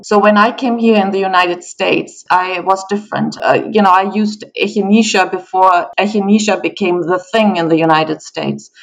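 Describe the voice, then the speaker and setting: A middle-aged woman from Germany with a German accent and German as her native language, a guest in a conversational interview, speaking in a measured, storytelling way. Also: medium pitch, creaky, rough recording.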